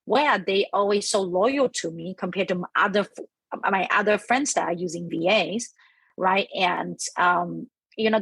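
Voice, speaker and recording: A woman's voice: medium pitch, husky, no echo, rough recording. A woman from Malaysia with a Malaysian accent, telling personal stories casually as a podcast guest, often checking in with "right?". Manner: frequent "you know" and "right?" tags.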